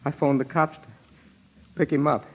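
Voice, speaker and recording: An actor playing an overwrought, stammering ex-convict bent on revenge in an old-time radio crime drama. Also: male voice, fairly high pitch, little pitch movement, moderate pace, breathy edge. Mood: desperate, resolved. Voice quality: nervous, broken laughter. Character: hysterical wronged male ex-convict, desperate and vengeful. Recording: dull vintage radio recording.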